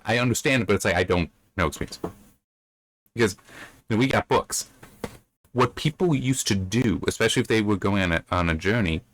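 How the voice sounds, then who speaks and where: A man giving casual, unscripted commentary on a story as a reviewer, in a bemused, halting, rambling way that often repeats words. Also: on the low side. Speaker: book reviewer reading and commenting.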